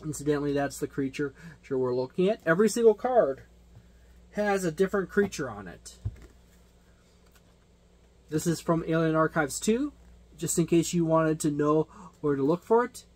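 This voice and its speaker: A man's voice: fairly high pitch, expressive, moderate pace, long pauses. A man giving an unscripted product overview as a tabletop game reviewer, explanatory and rambling, often rephrasing himself.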